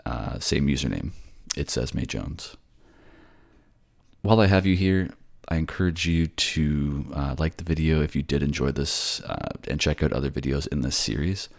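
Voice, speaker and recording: This is a male voice actor speaking to his audience. His voice sits extremely low, with a pronounced creaky, gravelly texture. He talks as the narrator and creator of his own audio series. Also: moderate pace. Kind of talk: narration and casual sign-off to listeners.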